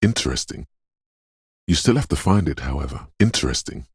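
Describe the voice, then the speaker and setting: Very deep, husky, brooding inner voice. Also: male voice, lively intonation, no echo, clean studio sound, exaggerated voice. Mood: urgent, wry. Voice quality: very deep, husky, gravelly whispery rumble. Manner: slow, ominous, poetic, intimate. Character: brooding inner voice of intuition, eerie, mournful, dreamlike. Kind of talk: video game voice acting, literary second-person narration.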